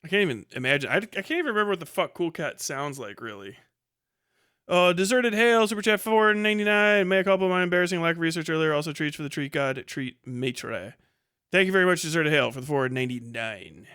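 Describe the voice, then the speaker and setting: Male livestream host, crisp and punchy, slightly high register, casual, sweary, sardonic delivery. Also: animated, fairly quick, bright, forceful tone, no echo, clean studio sound. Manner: profane, sardonic. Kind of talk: casual livestream chat, reading viewer comments.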